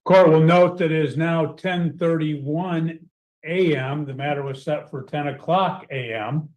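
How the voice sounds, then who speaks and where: A man's voice: fairly high pitch, a little mellow. Man reading court orders formally. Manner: measured, formal, reads out orders. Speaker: judge in a court hearing.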